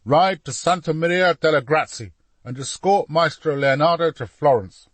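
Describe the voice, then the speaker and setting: A man's voice: on the high side, normal speed, no room sound, voice pushed up, lightly acted. Man acting an imperious, snarling duke, voice raised. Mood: commanding. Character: gruff, greedy, imperious Renaissance duke.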